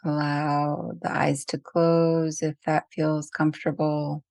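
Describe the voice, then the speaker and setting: A woman leading a guided meditation as a teacher, speaking gently and unhurriedly with long pauses. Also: low-pitched, level tone, no room sound.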